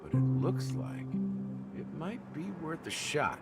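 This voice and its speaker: A man's voice: mid-range voice, animated, a little slow, over loud music. An actor playing a cool, world-weary man in a video game, laconic and dry. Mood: wry, resigned.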